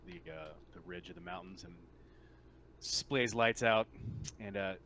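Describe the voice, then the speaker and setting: Man, low pitch, game master, halting improvised narration, informal, faint noise. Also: fairly even intonation, fairly bright tone. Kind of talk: improvised narration of a tabletop role-playing game. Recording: noisy room with typing.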